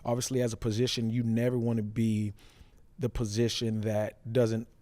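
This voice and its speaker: A man's voice: mid-range voice, little pitch movement, normal speed, dark tone. A man, a former player turned analyst, talking football casually, repeating points and checking in with tag questions. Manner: repetitive, tags points with "right?".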